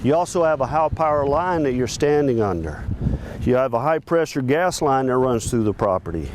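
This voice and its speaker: A man's voice: mid-range voice, expressive, normal speed. A man speaking firmly as an objecting resident in an outdoor news interview. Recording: noisy outdoor location with traffic.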